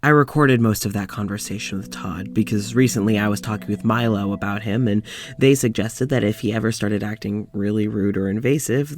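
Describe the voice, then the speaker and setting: A man playing an uneasy, weary scientist in an audio drama, confiding to a recorder in a halting way. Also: on the low side, lightly acted. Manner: hesitant, halting, sighing. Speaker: fiction podcast lead actor. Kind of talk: audio drama, confessional monologue.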